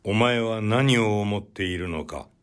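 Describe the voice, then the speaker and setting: A man voicing a puzzled, stern yet humane old-time Japanese officer in a literary audiobook, with measured, old-fashioned authority. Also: on the low side, clear, resonant tone, lightly acted. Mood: curious, probing. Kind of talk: literary audiobook character dialogue.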